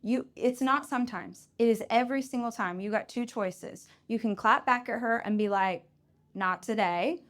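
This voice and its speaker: Female host at a live Q&A, frank and animated, laughs easily. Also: on the high side, normal speed. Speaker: podcast host answering audience questions. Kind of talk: live Q&A, casual and encouraging. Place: live event venue.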